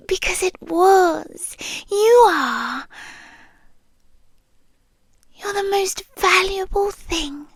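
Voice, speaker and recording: Woman acting a high-voiced British yandere girlfriend, whispery. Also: a bit bright, dry recording, heavily acted. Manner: slow, breathy, dramatic pauses, lovesick intensity. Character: possessive, devoted yandere girlfriend creature with a tail. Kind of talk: ASMR roleplay, soft and intimate, slipping into whispers.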